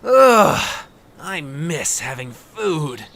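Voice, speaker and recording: A woman playing a small, plain-spoken young traveller in an amateur audio drama, with expressive delivery. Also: low-pitched, extremely slow, full character voice. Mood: wistful. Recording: noisy home recording.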